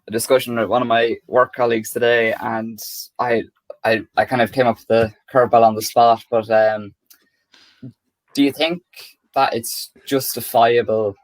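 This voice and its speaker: A man's voice: mid-range voice, steady tone, dry recording, rough recording. Rambling Irish podcast guy, dry mic. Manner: rambling, self-mocking, halting restarts. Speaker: podcast co-host. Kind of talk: casual chat.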